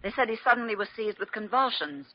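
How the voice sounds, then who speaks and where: An actress playing a brisk, dutiful hospital nurse in an old-time radio drama. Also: female voice, medium pitch, expressive, lightly acted. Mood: urgent. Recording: muffled, noisy vintage radio recording.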